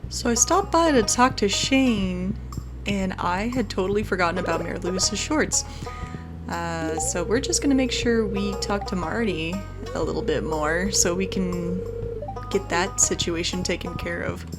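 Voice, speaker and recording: A woman's voice: on the low side, a little slow. A woman hosting a gaming video with casual, chatty commentary, thinking aloud as she plays. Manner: chatty, thinking aloud, reads game dialogue aloud. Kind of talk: casual let's-play commentary. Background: background music.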